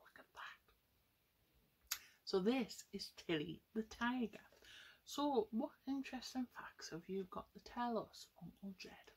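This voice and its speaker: A woman's voice: fairly high pitch, breathy edge, rough recording. A young woman telling a story to children, addressing them directly with questions and exclamations. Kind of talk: storytelling to children with paper puppets. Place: small room at home, indoors.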